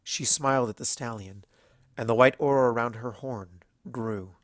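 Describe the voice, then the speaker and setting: A male narrator reads fiction with deliberate, dramatic phrasing. His voice sits in a middle register, and the recording is close and dry, with no room sound. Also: mid-range voice, dry recording. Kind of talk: scripted story narration.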